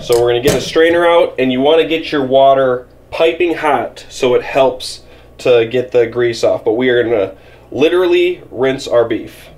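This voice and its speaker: A relaxed young man talks through a recipe while he cooks in a home kitchen, his voice at an ordinary middle pitch. He rambles easily, restarts his sentences, and keeps things casual and unhurried. Faint clicks and kitchen noise sit behind him. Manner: easygoing, apologetic, rambling with restarts. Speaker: outdoor vlogger cooking camp meals. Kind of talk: casual how-to cooking narration. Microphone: camera filming from above the stove.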